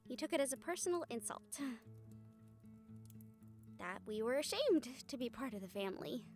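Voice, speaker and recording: A woman's voice: on the high side, sing-song, moderate pace, darkish tone, faint music behind. A woman playing a wry, caring young woman friend in a fantasy audio roleplay, speaking casually and hesitantly, as if to one listener. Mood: candid, wry. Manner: casual, hesitant, chuckling, mildly sweary. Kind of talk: audio roleplay acting, intimate one-sided conversation with listener.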